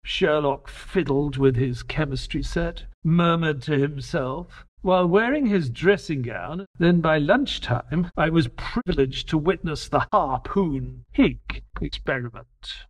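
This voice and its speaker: A man's voice: a little high, lively intonation, slow, few pauses. A man playing a wry, proper and exasperated detective's companion, acting and narrating in an audio drama. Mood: measured, wry narrating. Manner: polite, wry, precise.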